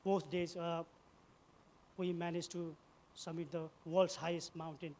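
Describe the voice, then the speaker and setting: Nepali guy w high voice telling his story at an event, halting but punchy phrases. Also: little pitch movement, a little mellow, a little hiss or hum. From eastern Nepal. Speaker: event speaker, former soldier. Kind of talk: personal story told as a public speech.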